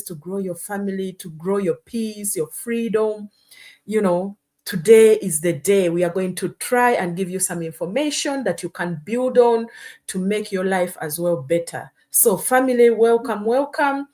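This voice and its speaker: A woman's voice: medium pitch, a little mellow. A woman from Uganda hosting a live stream, talking earnestly and often checking in with "hmm?" and "you know". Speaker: host. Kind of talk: casual live-stream talk.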